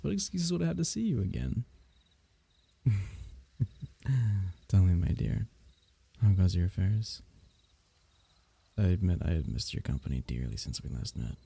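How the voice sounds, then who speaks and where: A man acts out a royal diviner from a fantasy bedtime roleplay, gentle and flirtatious. His voice is low and very dark, with a gravelly creak. He speaks intimately, in courtly, teasing phrases. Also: heavily acted. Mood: teasing, affectionate, then admiring, tender. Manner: tender, teasing, courtly old-fashioned phrasing, soft chuckles.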